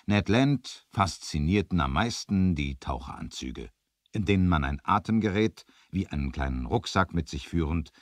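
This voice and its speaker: An actor narrating a sea adventure radio play in a measured, deliberate, dramatic storytelling manner. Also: male voice, low voice, expressive, no room sound. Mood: explanatory. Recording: dry 1970s vinyl record transfer.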